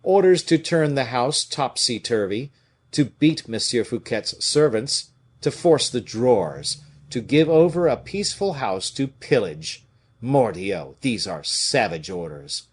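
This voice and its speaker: A man voicing an indignant, scornful veteran musketeer with a rough, declamatory delivery in an audiobook. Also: mid-range voice, expressive, a little slow. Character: fiery, indignant veteran musketeer, gruff old soldier. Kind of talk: dramatic audiobook character reading.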